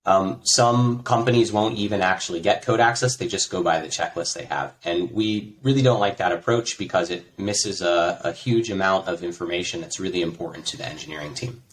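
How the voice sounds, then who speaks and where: A man from the United States with a low, deep voice talks through his work in a relaxed, conversational way. He pauses on fillers as he explains. A faint hiss of noise sits under the recording. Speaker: interview guest, tech executive. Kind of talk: conversational interview.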